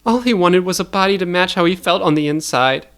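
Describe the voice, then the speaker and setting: Man voicing a desperate, high-pitched defiant girl. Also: fairly quick, a bit bright, clear, resonant tone. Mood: indignant grief. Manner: pleading, indignant, impassioned. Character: desperate, grieving, defiant teenage girl rebel.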